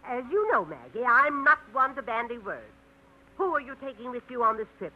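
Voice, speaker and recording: A woman's voice: on the high side, very animated, brisk. An actress in a 1950s radio play, playing a prim, nosy small-town gossip who stammers fussily around her point. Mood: prying. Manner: fussy, stammering, indirect. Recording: muffled, noisy 1950s radio recording.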